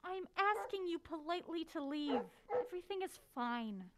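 A woman's voice: extremely high pitch, expressive, moderate pace, very noisy. A woman playing an anxious, mild-mannered character in an audio drama, skeptical and easily exasperated. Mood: strained, polite. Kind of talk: naturalistic audio drama acting.

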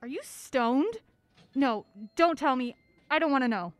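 Feisty young female sleuth played by an actress, high swooping voice, brisk and sardonic, impatient, audio drama. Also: animated. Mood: exasperated. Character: feisty, quick-witted young woman, impatient amateur sleuth.

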